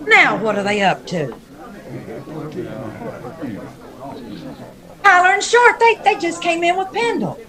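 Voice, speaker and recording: Lady doing a watchful saloon woman for an old radio western, high pitched, crowd noise behind. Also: huge pitch swings, a little fast, bright, forceful tone, exaggerated voice. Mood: worried. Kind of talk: vintage radio Western acting.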